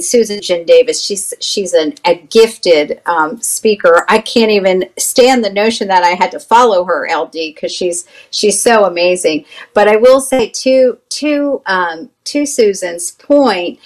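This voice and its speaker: A woman's voice: medium pitch, low-quality recording. A woman guest in an interview, speaking thoughtfully with frequent fillers and restarts. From United States. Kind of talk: conversational interview.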